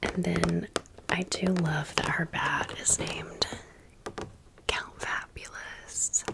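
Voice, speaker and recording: A woman doing casual, chatty ASMR storytelling in a soft, breathy, unvoiced whisper. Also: on the low side, steady tone, slow. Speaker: ASMR video creator.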